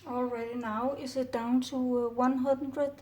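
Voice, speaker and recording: A middle-aged Danish woman speaking English with a Danish accent, calmly narrating her work at home with many hesitant "uh" fillers. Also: high-pitched, unhurried. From Denmark. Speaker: orchid grower. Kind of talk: casual hands-on narration. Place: home kitchen counter, indoors. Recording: roomy distant camera audio, faint noise.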